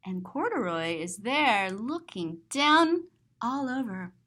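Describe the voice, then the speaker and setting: A woman reading a children's story aloud and describing the pictures, expressive and explanatory. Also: a little high, takes it slowly.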